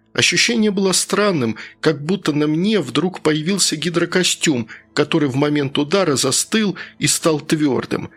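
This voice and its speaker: A man narrating a first-person story as a scripted audiobook reader. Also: fairly high pitch. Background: faint background music.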